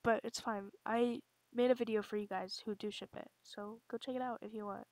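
A woman's voice: on the high side, fairly even intonation, brisk, a little mellow, no room sound, rough recording. A young woman chatting casually and informally between amateur comic dub readings, giggly and often interrupting herself.

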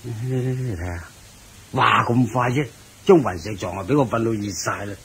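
A man's voice: mid-range voice, moderate pace, fairly bright tone, close to an everyday voice. A man with a Hong Kong Cantonese accent playing a fat, fussy king in a radio drama, sighing and grumbling as if weary of the heat. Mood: hot, complaining. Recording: clear background noise.

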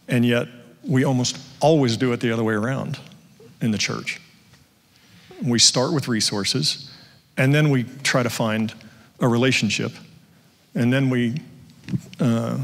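A middle-aged man speaking reflectively on a panel, often pausing and restarting. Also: medium pitch, a bit bright. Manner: reflective, halting lists. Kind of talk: panel discussion. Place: church stage. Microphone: handheld mic. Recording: long hall reverb.

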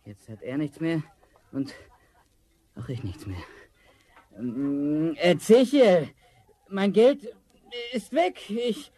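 An actor playing a gullible, desperate young charcoal burner, hesitant and excitable, in a fairy-tale radio play. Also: male voice, a little high, slow, pauses often. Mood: dismayed.

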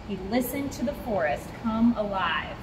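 A young woman reading a children's picture book aloud as a storyteller, expressive and clearly paced. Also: medium pitch, moderate pace, long reverb tail. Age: young adult. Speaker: zoo staff storyteller. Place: in front of a rock wall at a zoo. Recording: clear ambient background noise.

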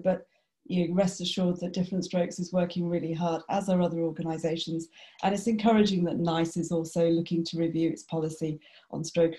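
A British woman with a low, mellow voice speaks over a video call as a doctor on a panel. Her delivery is even and flat, measured and explanatory, with frequent fillers and restarts. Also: low-quality recording. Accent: British English. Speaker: GP and charity panelist. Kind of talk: webinar panel discussion.

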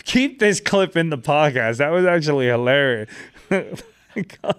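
A middle-aged man with a slightly high voice hosts a casual podcast. He speaks close to the studio mic in a joking, self-deprecating way. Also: expressive, measured pace, a bit bright, clear, resonant tone. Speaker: podcast host, interviewer. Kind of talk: casual chat. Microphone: close boom-arm studio mic.

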